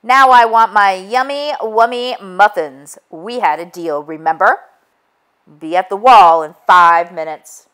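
A woman's voice: mid-range voice, measured pace, fairly bright tone, smooth, lightly acted. A woman voicing the big bad wolf, a menacing male gangster villain in a storybook, with a growling, threatening manner. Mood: demanding.

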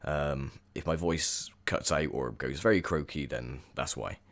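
A young man with a croaky, sore voice, chatting casually through gameplay commentary with lots of ums. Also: a bass voice, unhurried. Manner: chatty, rambling with many ums. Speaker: gaming video host.

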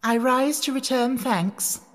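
A well-mannered little storybook girl played by a narrator. The voice is high, meek and polite, with a touch of timidity. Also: slow, fairly bright tone. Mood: formal.

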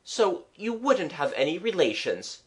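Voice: medium pitch, big pitch swings, a little slow, voice pushed up. A reader voicing a genial, well-travelled man who paints, with a dry, kindly, musing manner in a storybook. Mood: agreeable.

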